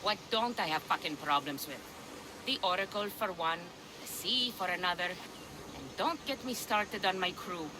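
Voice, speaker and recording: A woman's voice: lowish voice, normal speed, background noise, lightly acted. An actress playing a blunt, sardonic, foul-mouthed female pirate ship captain in a video game cutscene. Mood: gruff, irritated.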